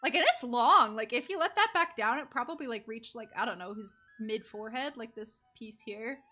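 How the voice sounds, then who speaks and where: A woman's voice: fairly high pitch, a little fast, sharp tone, faint music behind. A young woman giving casual, rambling live game commentary with giggly asides as a streamer.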